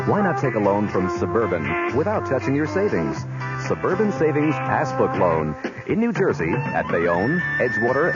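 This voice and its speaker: Man, ad announcer, persuasive, lively intonation, loud music behind, dull old radio sound. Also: medium pitch. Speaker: commercial announcer. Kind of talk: radio advertisement.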